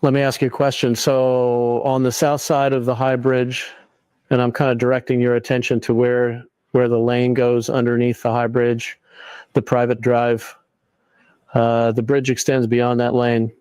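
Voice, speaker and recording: A synthetic voice playing a male attorney asking slow, monotone questions. The voice is completely flat in pitch, smooth and resonant, and sounds dry and close. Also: no room sound, lightly acted.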